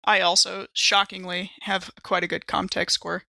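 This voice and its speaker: A woman with a bright, crisp-edged voice of medium pitch plays a tabletop game. She talks casually, and the sound is very dry with no room echo. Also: flat delivery, moderate pace. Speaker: player in a tabletop role-playing game.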